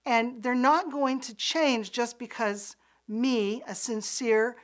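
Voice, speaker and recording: A woman's voice: fairly high pitch, steady tone, slow pace. Woman giving an earnest lecture. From Pakistan. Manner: earnest, explanatory, some restarts. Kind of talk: recorded course lecture.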